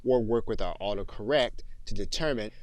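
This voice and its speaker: A man giving a methodical, clearly enunciated software tutorial as an instructor. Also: lowish voice, a little fast, no echo. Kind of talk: scripted tutorial narration.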